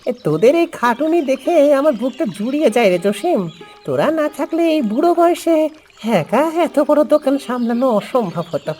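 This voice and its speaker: A woman voicing a humble, grateful ageing village father who runs a food stall in an animated story. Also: high voice, fairly quick. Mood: grateful, heartfelt. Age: older. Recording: voice over background music.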